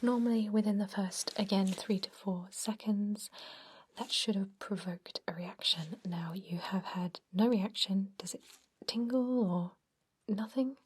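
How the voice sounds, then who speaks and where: A woman whispering an ASMR roleplay as a gentle, reassuring doctor, breathy and mostly unvoiced, giving step-by-step instructions. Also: mid-range voice, a little slow. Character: calm, reassuring female vampire doctor examining a patient.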